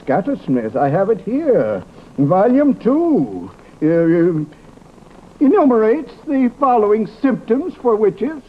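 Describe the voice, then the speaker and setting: An actor playing a fussy, credulous, dithering professor in an old radio comedy drama, hesitant and stammering. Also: male voice, high-pitched, slow pace, heavily acted. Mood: alarmed, excitable. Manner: hesitant, stammering, fussily excitable. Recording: muffled, noisy old radio recording.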